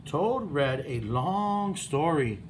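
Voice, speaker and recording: Male primary teacher for children's read-aloud: high voice, animated melody, slow delivery, faint noise behind. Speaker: first-grade teacher.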